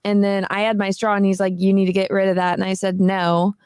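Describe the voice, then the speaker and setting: A woman's voice: medium pitch, monotone, brisk, darkish tone, smooth, no echo, studio quality. A woman in her twenties, a guest on a casual panel chat, telling a story and quoting others as she goes, often laughing. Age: 20s.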